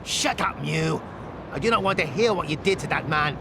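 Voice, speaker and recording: A stern gangster, with a creaky voice that swings up and down. He commands in formal, uncontracted phrases in a video game, over clear background noise. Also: a little high, expressive, moderate pace. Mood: disgusted, angry. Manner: formal phrasing without contractions. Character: male London gangster, squeamish but commanding and stern. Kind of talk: dramatic game cutscene acting.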